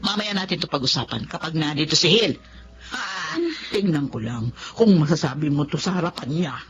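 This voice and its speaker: A woman's voice: sits low, animated, a little fast, soft background music. A woman playing a domineering, easily offended older mother-in-law in a Filipino radio drama, bossy, indignant and emphatic. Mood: indignant, scolding. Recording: dull lo-fi audio with faint noise.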